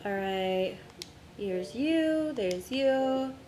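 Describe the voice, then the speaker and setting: A young woman streamer chatting casually with friends while setting up a game, her voice going hoarse from a long day of talking. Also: on the high side, expressive, drawn-out words, warm tone, echoey room, slight background noise. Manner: distracted, talks to herself while navigating menus.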